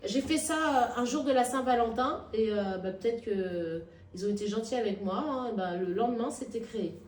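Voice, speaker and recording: A woman being interviewed, with frequent restarts and hesitations that give way to emphatic, lively stretches. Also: on the high side, brisk, slight background noise. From Nigeria and Madagascar. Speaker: actress and workshop founder, interviewee. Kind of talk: spontaneous interview talk. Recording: echoey room, heard from a distance.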